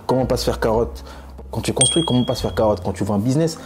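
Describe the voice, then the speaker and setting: A man's voice: medium pitch, fast. A young French man talking casually to camera, emphatic and full of fillers and repeats, from France. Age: young adult. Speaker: vlogger.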